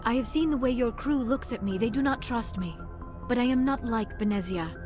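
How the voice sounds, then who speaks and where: A woman's voice: a little high, fairly quick, natural voice. An actress playing a young alien archaeologist in a video game, earnest and formal, flustered when she feels embarrassed, with music playing behind her. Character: young alien woman archaeologist, shy and awkward.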